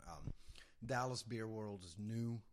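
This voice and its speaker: A man from Belgium, a beer educator and podcast guest, explaining in a relaxed, unhurried way. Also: on the low side, a little hiss or hum. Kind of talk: casual interview talk.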